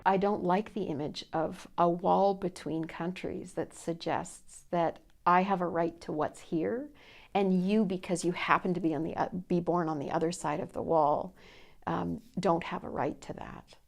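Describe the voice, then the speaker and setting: A woman giving deliberate, considered interview answers. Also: fairly low pitch, steady tone, a little mellow. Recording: music playing clearly behind.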